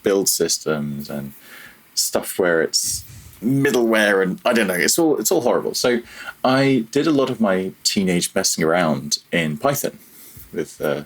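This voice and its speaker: A man's voice: deep voice, expressive, unhurried. A man talking casually as an interview guest, reflective and self-deprecating about himself. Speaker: podcast guest, freelance audio programmer.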